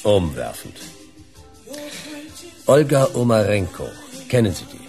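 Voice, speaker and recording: A man's voice: on the low side, sing-song, takes it slowly, a little mellow. A man playing a terse, world-weary private detective in a radio play, speaking in a clipped, dry, laconic way. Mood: dry, guarded. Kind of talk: hardboiled radio play narration and dialogue. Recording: music behind the voice.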